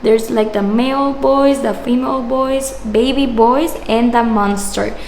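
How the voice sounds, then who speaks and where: A woman's voice: a little high, steady tone, unhurried, a little mellow. A woman in her twenties doing a casual unboxing review, phrasing things hesitantly as she goes. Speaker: product reviewer. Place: small indoor room with shelves. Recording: echoey room with background noise.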